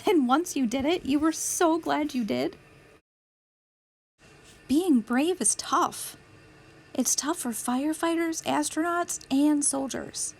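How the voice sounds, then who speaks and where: A woman reading a picture book aloud as a storytime host, with deliberate, clear phrasing for young children. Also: sits high, a little mellow. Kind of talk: children's read-aloud narration.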